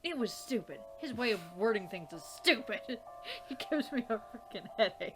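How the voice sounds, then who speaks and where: A woman's voice: a little high, expressive, normal speed, over music. A woman hosting a let's play, reading the game's narration aloud and commenting with exasperated swearing and laughter.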